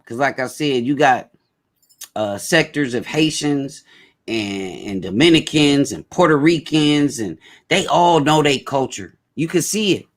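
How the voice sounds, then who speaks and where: Casting note: male host, slightly high-pitched voice, animated and emphatic delivery, repeats himself for weight. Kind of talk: casual opinion talk.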